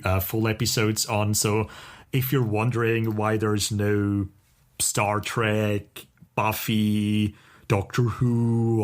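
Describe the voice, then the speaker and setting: Enthusiastic man co-hosting a casual podcast. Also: lowish voice. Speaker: podcast co-host.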